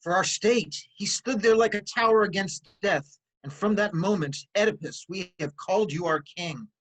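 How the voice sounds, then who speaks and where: Husky, solemn chorus elder played by a woman. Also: medium pitch, moderate pace, natural voice, rough recording. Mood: reverent. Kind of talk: solemn theatrical verse reading.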